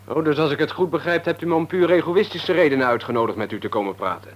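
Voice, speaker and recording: An actor playing a cynical, guarded young layabout in a Dutch radio play, insolent and offhand, with a Netherlands accent. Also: male voice, fairly high pitch, fast, lo-fi sound, natural voice. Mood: grudging, offhand. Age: young adult. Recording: radio drama recording.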